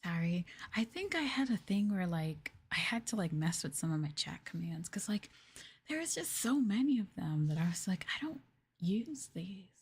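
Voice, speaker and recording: A woman hosting an ASMR livestream, chatting casually and gently in a soft, breathy voice that often drops into a whisper, close to the mic. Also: lowish voice, very animated, normal speed. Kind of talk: soft-spoken ASMR casual chat with viewers. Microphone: close ASMR microphone.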